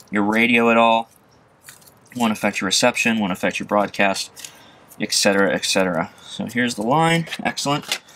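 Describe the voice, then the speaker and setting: A man in his thirties talks through a hands-on job in a casual, medium-pitched voice. He thinks aloud as he works. The camera mic picks up outdoor ambient noise around him. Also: bright, forceful tone. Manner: casual, chuckling, thinking aloud while working. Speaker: DIY presenter. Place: outdoors at an open car. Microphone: camera set near the work.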